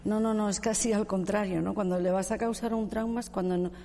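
Gravelly-voiced woman, low and mellow. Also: fairly even intonation. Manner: measured, filler-heavy, tag questions. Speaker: panel guest. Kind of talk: discussion panel. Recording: reverberant room with faint noise.

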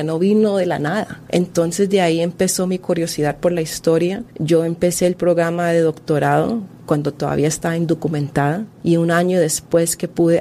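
A Colombian-born woman, a historian and author, answering interview questions in an earnest, measured way with frequent restarts. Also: sits low, warm tone, a little hiss or hum.

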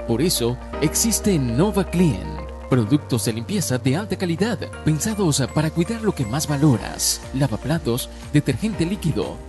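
A man reading a scripted radio commercial in a polished, persuasive announcer delivery. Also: fairly high pitch. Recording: radio ad over loud music. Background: background music.

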